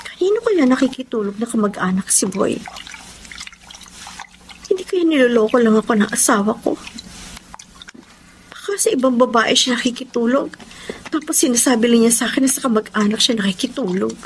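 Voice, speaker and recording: Actress as plaintive, high-voiced Filipino wife. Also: female voice, brisk, long pauses, slight background noise, natural voice, low-quality recording. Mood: worried. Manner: plaintive, pleading. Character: long-suffering, devoted, anxious battered wife and mother. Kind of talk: Filipino radio drama acting.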